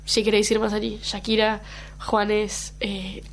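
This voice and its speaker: A woman's voice: medium pitch, flat delivery, measured pace, loud music behind the voice. A woman from Colombia whose first language is Brazilian Portuguese, chatting casually as a guest and laughing often. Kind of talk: casual chat.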